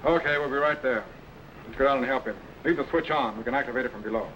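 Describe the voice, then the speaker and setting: An actor playing a confident, commanding time-travelling captain in a brisk, businesslike manner. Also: male voice, mid-range voice, natural voice. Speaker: lead character. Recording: noisy old radio recording.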